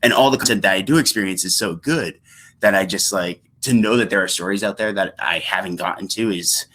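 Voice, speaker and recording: A man's voice: low-pitched, brisk, fairly bright tone, low-quality recording. A young man talking casually on a podcast, sharing his thoughts in a conversational way. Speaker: podcast host and guest.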